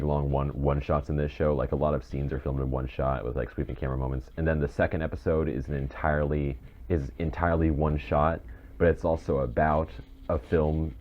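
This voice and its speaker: A man's voice: extremely low pitch, fairly even intonation, moderate pace. A man hosting a podcast in casual chat about film and TV, rambling and often restarting his phrases. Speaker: podcast host. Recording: dull muffled mic with faint noise.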